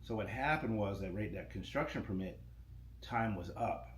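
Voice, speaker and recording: Low-voiced man telling radio stories. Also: moderate pace. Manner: relaxed, rambling, restarts often. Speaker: podcast guest, radio station owner. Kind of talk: casual interview chat. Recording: echoey room with faint ambient noise.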